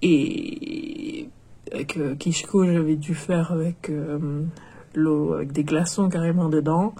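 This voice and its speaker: A woman's voice: low voice, fairly even intonation, slow pace, mellow, slightly rough. A young woman with a cold, chatting hesitantly through a doll unboxing with many fillers and restarts. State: has a cold, nasal and stuffy. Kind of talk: casual unboxing commentary.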